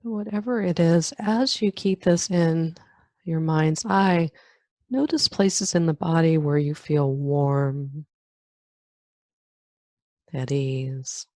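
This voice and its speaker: A woman leading a guided meditation in a calm, unhurried manner, leaving long pauses between phrases. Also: sits low, no room sound, clean studio sound. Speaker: meditation guide, yoga nidra teacher. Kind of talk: guided meditation, body scan.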